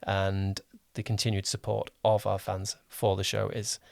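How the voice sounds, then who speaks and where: A man's voice: fairly low pitch, completely flat delivery, unhurried, gravelly, no room sound. Man hosting a podcast interview, dry close sound. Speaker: podcast host and producer interviewing a guest. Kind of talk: casual interview chat.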